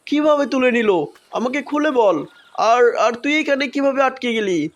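Superhero rescuer, kids' cartoon, male voice actor: well-meaning, flustered, repetitive, hurried reassurance. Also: very high-pitched, animated. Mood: concerned. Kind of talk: children's superhero cartoon voice acting.

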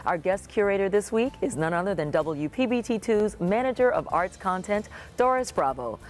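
Lively-voiced woman presenting a TV show. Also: mid-range voice, normal speed. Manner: lively, polished presenter delivery. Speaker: TV arts show host. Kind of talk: scripted presenting. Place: dark TV studio.

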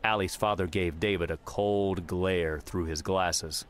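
A man's voice: low-pitched, fairly even intonation, unhurried, slight background noise. A man narrating scripted third-person fiction as an audiobook narrator. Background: music.

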